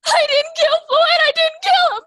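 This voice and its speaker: A woman playing a distraught young defendant in a radio play, near tears, halting, desperate and pleading. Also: very high-pitched, steady tone. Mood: frantic protest. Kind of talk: radio play courtroom acting.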